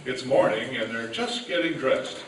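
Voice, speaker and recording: A man's voice: medium pitch, animated, moderate pace. Echoey male old-time radio announcer. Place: live stage in a hall. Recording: echoey hall, distant, loud music behind.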